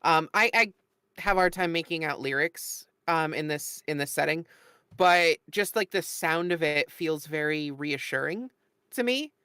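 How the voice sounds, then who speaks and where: Commentator, casual chat. Bright forceful tone, dry close sound, earnest tentative delivery. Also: mid-range voice, measured pace, clear, resonant tone, dry recording.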